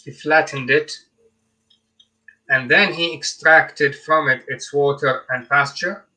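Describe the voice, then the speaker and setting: Co-host: young Iraqi-accented man, crisp and bright tone, halting delivery full of fillers. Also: fairly high pitch, steady tone, poor audio. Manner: hesitant, many fillers and restarts. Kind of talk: casual commentary on scripture.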